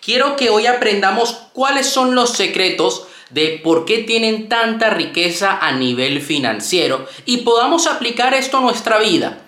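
A man's voice: high-pitched, pushed and bright, echoey room. A man from Venezuela giving a motivational talk to camera on his YouTube channel, speaking earnestly and straight to the viewer. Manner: earnest, addresses viewer directly. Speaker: YouTube self-help creator.

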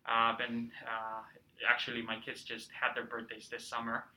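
A man from Peru in his thirties, chatting as a podcast guest, telling stories warmly with lots of fillers. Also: medium pitch, monotone, normal speed, crowd noise, rough recording. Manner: warm storytelling with many fillers. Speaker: guest, cultural center director. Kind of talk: casual interview chat.

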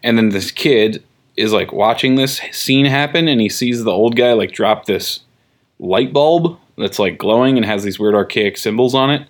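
A young man co-hosting a comic book podcast, rambling in casual chat with many fillers and quick laughs. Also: mid-range voice. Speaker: podcast co-host.

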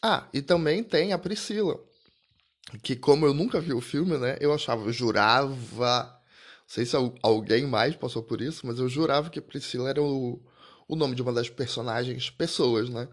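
A man's voice: medium pitch, normal speed. A young man hosting a casual solo podcast, chatting loosely about a film with frequent asides, restarts and small laughs at himself. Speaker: podcast host.

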